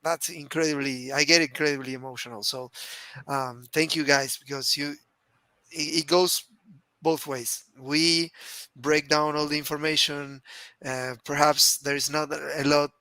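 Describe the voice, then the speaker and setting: A man's voice: a little high, steady tone, unhurried, sharp tone, no echo. A man in his forties from Argentina, with an Argentine Spanish accent, co-hosting a casual live stream over a video call headset and speaking in a heartfelt, rambling way. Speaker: co-host. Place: small home room.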